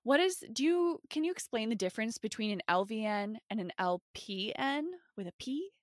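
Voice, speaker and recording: A woman's voice: a little high, expressive, normal speed, dry recording, studio quality. A woman with a Minnesota accent hosting a casual podcast interview, affirming and encouraging toward her guest. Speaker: podcast host, nurse.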